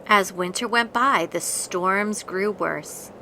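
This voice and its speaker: A woman reading a children's picture book aloud in an expressive, deliberate storytelling manner. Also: medium pitch, slight background noise, low-quality recording. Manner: deliberate, dramatic storytelling with acted voices. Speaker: storybook reader.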